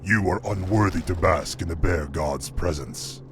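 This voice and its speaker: An actor playing a stern, forbidding guardian of wild lands in a fantasy video game. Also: male voice, deep voice, unhurried, noisy background. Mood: stern, menacing. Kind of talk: dramatic video game voice acting. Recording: game music behind.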